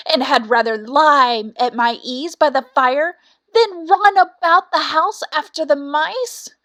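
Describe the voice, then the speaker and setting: A woman voicing an aging, rueful female cat in a storybook, with drawn-out, plaintive phrasing. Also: a little high, fairly bright tone, dry recording.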